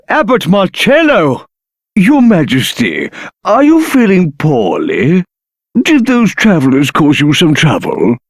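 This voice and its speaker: A stern, dutiful guard of a holy order in a video game. He speaks slowly and formally in a slightly high voice with lively intonation, recorded dry. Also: no echo, full character voice. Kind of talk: video game character acting.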